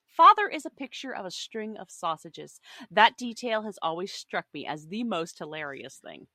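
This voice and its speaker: Bright-voiced woman co-hosting, close and dry. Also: medium pitch, big pitch swings, moderate pace, no echo. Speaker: podcast co-host. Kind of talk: casual book discussion.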